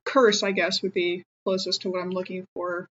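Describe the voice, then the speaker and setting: A woman chatting casually as a player in a tabletop game, thinking aloud in a halting way. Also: on the low side, little pitch movement, a bit bright, highs cut off.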